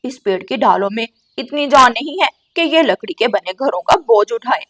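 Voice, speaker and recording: A woman's voice: fairly high pitch, animated, normal speed, fairly bright tone. Woman voicing a sly, boastful cartoon crow trickster. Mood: mocking. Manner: sly, taunting. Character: scheming, boastful, mocking male crow trickster. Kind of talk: Hindi children's cartoon dialogue.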